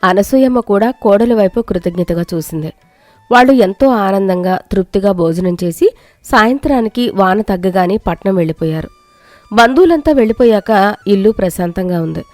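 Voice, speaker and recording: A woman telling a moral storybook tale in steady, scripted narration. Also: mid-range voice, dry recording.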